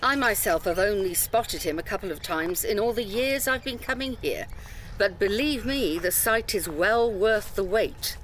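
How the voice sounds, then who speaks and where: Polite patient tour guide briefing visitors, radio play style, bit of background noise. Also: female voice, mid-range voice, fairly bright tone. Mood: modest, helpful. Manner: polite, instructive. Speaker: actor in audio drama. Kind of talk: audio drama acting, public briefing.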